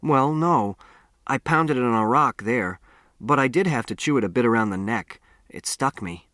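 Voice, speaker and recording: A man's voice: medium pitch, normal speed, a bit bright, no room sound, clean studio sound, lightly acted. A man playing an earnest, self-reliant runaway boy from New York in an audiobook, plain-spoken and matter-of-fact.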